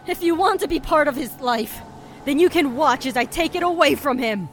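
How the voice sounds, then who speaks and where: A woman's voice: sits high, lively intonation, moderate pace, a little hiss or hum. A woman playing a fierce, protective, vengeful mermaid sister in an audio drama, with an imperious, threatening delivery. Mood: enraged, vengeful.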